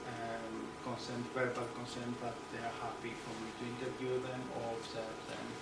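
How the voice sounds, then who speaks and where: A man from Greece with a Greek accent giving an academic seminar talk, hesitant with many pauses and restarts. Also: mid-range voice. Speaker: seminar speaker. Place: seminar room. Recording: echoey room, distant mic, loud background noise.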